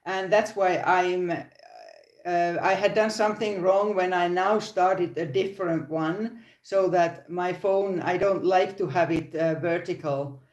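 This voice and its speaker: A woman's voice: fairly low pitch, steady tone, slow pace, a little mellow, echoey room, dull audio. An older woman with a Finnish accent, hosting a casual live crochet stream from her phone, often restarting sentences and laughing. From Finland. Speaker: crochet channel host.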